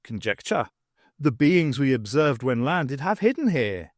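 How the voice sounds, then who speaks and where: A man's voice: mid-range voice, big pitch swings, unhurried, dry recording. A man reading as a dutiful alien servitor in a storybook. The delivery is stilted and formal, like an eager underling reporting, with a dry sound. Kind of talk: audiobook character voice.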